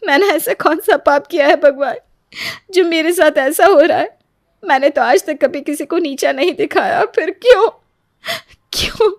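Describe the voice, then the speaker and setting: A woman's voice: sits high, moderate pace, no echo, clean studio sound. A woman playing a meek, wronged young daughter-in-law in a story, plaintive and earnest. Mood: tearful pleading. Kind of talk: Hindi moral story voice acting.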